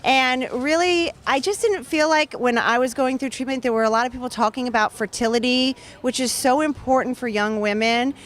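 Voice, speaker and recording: Woman in her thirties, fairly high voice, earnest, heartfelt interview guest, outdoor event. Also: moderate pace, few pauses. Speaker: author and guest. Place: outdoor charity race booth area.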